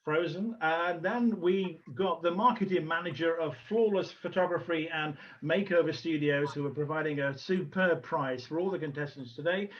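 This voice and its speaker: A man hosting an online event informally and haltingly, speaking over a video call. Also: a little high. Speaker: host and organiser of a pageant. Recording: muffled lo-fi video call audio.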